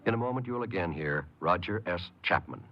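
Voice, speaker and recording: A man's voice: deep voice, lively intonation, brisk. A man announcing in a formal, scripted 1950s radio style. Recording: muffled 1950s radio broadcast recording. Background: music.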